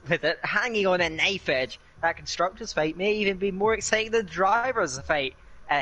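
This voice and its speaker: Bright-voiced Scottish race commentator. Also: male voice, a little high. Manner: chatty, with frequent "uh" fillers and a quick laugh. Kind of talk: live sim racing commentary.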